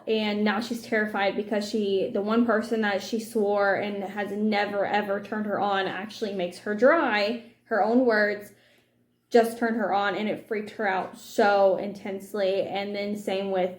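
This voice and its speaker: A woman's voice: medium pitch, a little mellow. Rambling woman in an echoey room. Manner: rambling, candid, many restarts. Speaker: book vlogger. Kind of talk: casual commentary on a novel.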